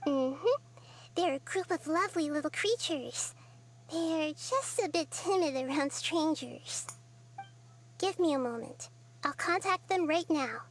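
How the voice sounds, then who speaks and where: Game role: little girl goddess, tiny high voice, thoughtful, kind and gently reassuring. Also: measured pace, slight background noise. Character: kind, wise, childlike girl deity. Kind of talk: video game voice acting.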